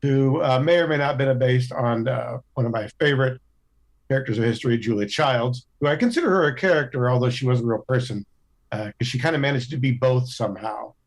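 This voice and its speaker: A man chatting casually as a podcast cast member, in halting, thoughtful phrases. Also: medium pitch.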